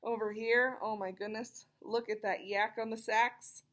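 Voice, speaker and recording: A woman reading a children's picture book aloud, expressive and chatty, with casual explanatory asides for young listeners. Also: a little high, measured pace. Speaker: read-aloud storyteller.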